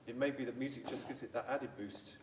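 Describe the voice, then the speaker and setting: A man who works with patients at a hospital, explaining clinical examples on a panel in a measured way. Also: mid-range voice, steady tone. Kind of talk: panel discussion. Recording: echoey room, distant mic, faint noise.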